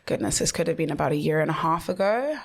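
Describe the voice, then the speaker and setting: A woman, a guest on a casual podcast chat, playful and quick to laugh. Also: low-pitched, expressive, vocal fry. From South Africa.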